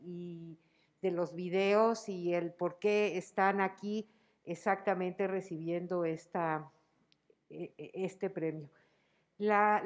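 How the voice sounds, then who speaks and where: A middle-aged woman with a Mexican Spanish accent speaks slowly and deliberately at an indoor award ceremony. Her voice sits at an ordinary pitch, coming through a handheld mic and a face mask. She pauses often, fills gaps with soft hesitations, and restarts her phrases. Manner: slow and measured, many fillers and restarts. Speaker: human rights commission president. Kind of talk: unscripted award ceremony speech. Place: indoor event stage. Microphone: handheld mic, masked.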